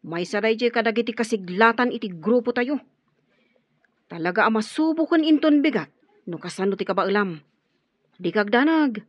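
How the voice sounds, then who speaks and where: A woman's voice: a little high, lively intonation, moderate pace, pauses often, no room sound. A woman voicing a young male henchman in a radio drama, obedient to the boss and cocky about fighting. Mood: confident. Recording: dull lo-fi audio with faint music.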